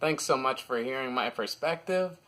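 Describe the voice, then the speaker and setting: A man's voice: mid-range voice, normal speed, bright, forceful tone. A man hosting a casual, partly scripted movie review, talking informally and with plenty of opinion and slang.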